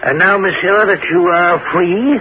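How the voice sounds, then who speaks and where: An actor playing a fussy, affable French prison governor in a 1970s radio drama, with a flustered, stammering delivery. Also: male voice, sits high, unhurried. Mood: flustered, reluctant. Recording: dull, faintly noisy old radio recording.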